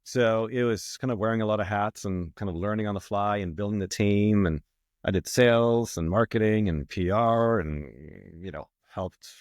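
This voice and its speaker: A middle-aged man from Sweden with a Swedish accent, a marketing executive talking about his career in a relaxed, reflective way with many fillers and restarts. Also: lowish voice, normal speed, clean tone, no room sound, studio quality. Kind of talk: casual interview talk.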